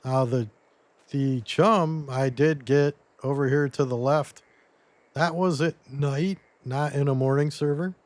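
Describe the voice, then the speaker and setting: A man losing his voice a little, giving a relaxed step-by-step gameplay tutorial as commentary. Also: mid-range voice, unhurried, no room sound. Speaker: game guide creator.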